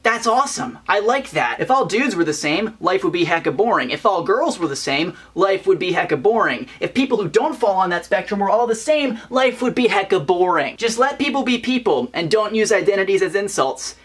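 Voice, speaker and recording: A man's voice: high-pitched, lively intonation, fairly quick, hardly any pauses, a bit bright, breathy edge. A young man with a light, feminine-sounding voice, ranting chattily and playfully as a vlogger. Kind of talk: casual opinion vlog.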